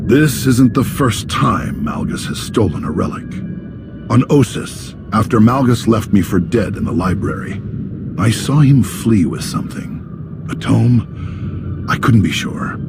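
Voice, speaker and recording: A man voicing a grave, authoritative Jedi master in a video game, speaking in a measured, formal way with a gravelly voice. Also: lowish voice, animated, loud music behind the voice. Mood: grave, troubled. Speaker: Jedi master leading a meeting. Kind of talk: video game dramatic acting.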